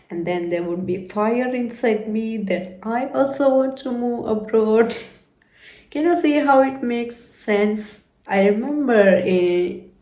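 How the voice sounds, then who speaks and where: A woman in her thirties from India, whose first language is not English, telling her life story in an unhurried, reflective way. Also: medium pitch, dark tone, roomy sound. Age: 30s. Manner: unhurried, reflective, with frequent "you know" fillers. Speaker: vlogger.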